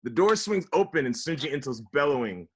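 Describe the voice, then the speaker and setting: A man's voice: a little high, expressive, a little fast, husky. A man narrating scripted stage directions for a table read. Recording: online video call table read.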